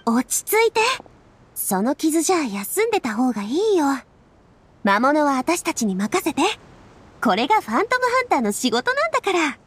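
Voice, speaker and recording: A woman's voice: high-pitched, big pitch swings, normal speed, long pauses. A woman voicing a confident young female adventurer in an anime game, composed, protective and reassuring. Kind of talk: anime-style video game acting.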